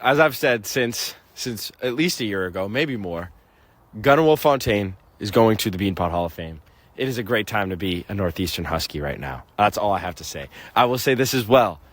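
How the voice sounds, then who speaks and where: A man's voice: on the low side, animated, normal speed, fairly bright tone, no echo. A man, a guest on a sports podcast, making firm, emphatic calls in casual chat. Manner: emphatic, declarative predictions.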